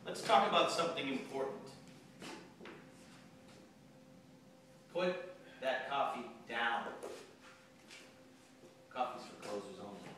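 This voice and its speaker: An actor playing an aggressive, profane sales boss from head office in a theatrical monologue, domineering, taunting and emphatic. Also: male voice, medium pitch, very animated, normal speed, lightly acted. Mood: commanding, dismissive. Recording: echoey room, distant mic, faint noise.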